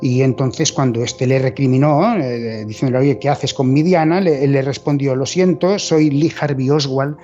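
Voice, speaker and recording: A man's voice: medium pitch, moderate pace, hardly any pauses, warm tone, highs cut off, faint music behind. A man explaining as an interviewed expert, often closing phrases with a questioning tag. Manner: seeks agreement with tag questions.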